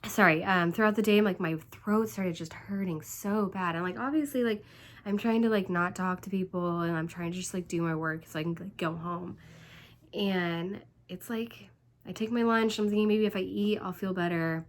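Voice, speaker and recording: Hoarse, stuffed-up woman chatting. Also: on the low side, moderate pace. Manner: rambling, chatty, full of "like" and "um". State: hoarse from laryngitis; congested, stuffed up. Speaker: vlogger. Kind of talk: casual storytelling vlog.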